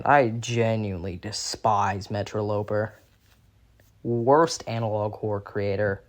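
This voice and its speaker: Slow, halting young man, exasperated. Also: medium pitch. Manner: halting, repetitive, groping for words; exasperated, sweary. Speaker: video commentator. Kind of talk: unscripted critical rant.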